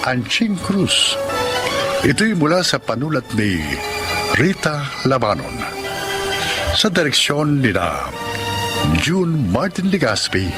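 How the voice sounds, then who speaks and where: A man's voice: on the high side, sing-song, slow, pauses often. A man with a Filipino accent hosting a drama program with scripted, reflective narration. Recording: loud music bed behind the voice.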